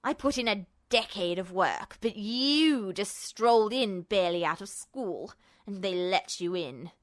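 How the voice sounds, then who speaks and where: A woman's voice: medium pitch, lively intonation, unhurried, pitched below the performer's own voice, close to an everyday voice. A woman voicing a bitter, unhinged male villain, smug and sneering, in an audiobook story. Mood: bitter rage. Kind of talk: audiobook-style character acting.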